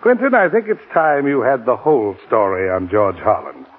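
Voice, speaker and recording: Genial lawyer on tinny old radio. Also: male voice, mid-range voice, wildly swooping pitch, normal speed, slightly rough. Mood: candid, confiding. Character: genial, candid middle-aged lawyer and ranch host narrating the past. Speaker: actor in radio drama. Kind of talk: 1940s radio acting. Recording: tinny, band-limited 1940s radio broadcast, music.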